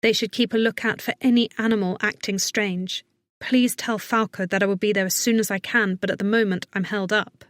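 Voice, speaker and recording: A woman's voice: medium pitch, steady tone, brisk, fairly bright tone, no room sound, studio quality, natural voice. A woman voicing a worried but capable woman vet in an audiobook, giving firm, urgent, reassuring instructions. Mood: brisk, urgent. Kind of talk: audiobook character acting.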